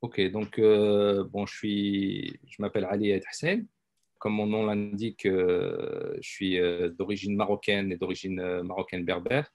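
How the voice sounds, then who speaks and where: A man's voice: lowish voice, flat delivery, a little slow, a little mellow. A middle-aged man, a doctor talking as a guest in a casual interview, hesitant with many fillers and repeated words. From France. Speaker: guest, intensive care doctor.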